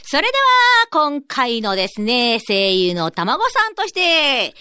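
Lively high-voiced woman radio host. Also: unhurried, smooth, dry recording, clean studio sound. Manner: playful teasing straight-man retorts. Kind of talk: casual radio banter with guests.

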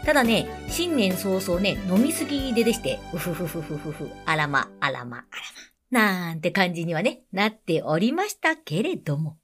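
A woman's voice: fairly low pitch, sing-song, normal speed, faint music behind. A woman hosting a radio show, chatting politely and casually as she introduces what comes next.

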